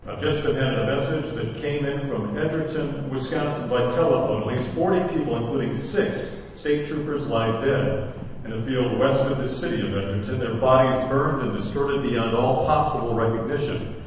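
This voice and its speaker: An actor playing a serious radio news announcer in a radio play, relaying bulletins in formal, measured speech. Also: male voice, medium pitch, close to an everyday voice. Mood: grave, formal. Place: theater stage, live radio play performance. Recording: very echoey hall from far off, faint noise.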